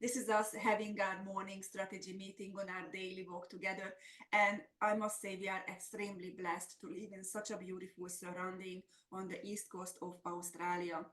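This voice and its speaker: A woman's voice: mid-range voice, little pitch movement, normal speed, fairly bright tone. A middle-aged woman with a Hungarian accent, presenting a semi-scripted business webinar as a coach. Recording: roomy, echoey sound.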